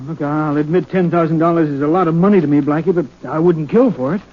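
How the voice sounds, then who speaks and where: An actor playing an affronted, uneasy politician in a 1940s radio drama, speaking defensively and earnestly. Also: male voice, a little high, fast, natural voice. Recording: muffled, faintly noisy 1940s radio recording.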